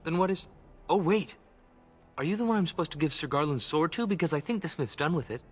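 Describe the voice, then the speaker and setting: A man's voice: on the high side, lively intonation, fast. Nervous elf errand boy. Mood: nervous, flustered. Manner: stammering, deferential. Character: nervous, eager young elf errand runner.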